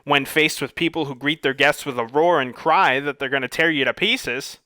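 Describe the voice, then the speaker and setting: A man voicing a calm, terse male monster hunter who speaks dryly and evenly. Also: fairly high pitch, moderate pace, no room sound. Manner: calm, dry.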